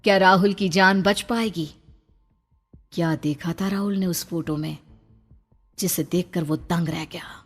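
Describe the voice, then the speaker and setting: Lower-pitched woman, suspenseful story narrator. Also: a little slow, long pauses, slightly rough. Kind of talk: scripted dramatic horror story narration.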